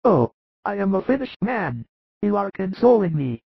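A man's voice: high voice, sing-song, normal speed, gravelly, slightly rough, no echo, muffled recording, pitched above the performer's own voice, full character voice. A man voicing a frail, dying man who pleads tearfully and fears death. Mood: weak pleading.

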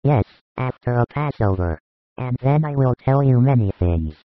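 Man acting kindly holy master, medium male pitch, measured, solemn, audiobook drama, close dry sound. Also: animated, no echo, narrow, tinny audio, lightly acted. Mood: gentle, assured.

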